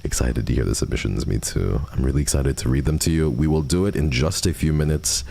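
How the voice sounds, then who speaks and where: A man's voice: very deep voice, fast, creaky, warm tone, slightly rough. A man hosting a livestream, chatting casually and answering viewer messages at a close microphone. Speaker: livestream host. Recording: faint background noise.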